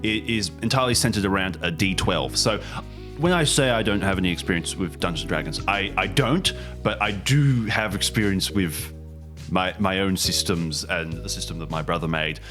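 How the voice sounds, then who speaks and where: A man's voice: sits low, normal speed, over music. An Australian man hosting a podcast, introducing it in a casual, jokey, rambling way. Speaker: host and game master.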